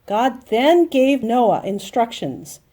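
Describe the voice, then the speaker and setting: A woman telling a Bible story to young children, explaining in simple phrases with dramatic pauses and emphasis. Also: a little high, big pitch swings, dark tone.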